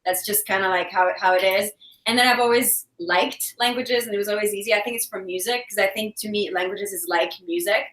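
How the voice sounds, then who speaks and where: Casting: woman, native French speaker from Belgium, chatty self-mocking guest, crisp bright tone, roomy echoing sound. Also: mid-range voice, fairly quick, recorded from a distance. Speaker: guest actress and creator. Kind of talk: casual chat. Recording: echoey room, away from mic.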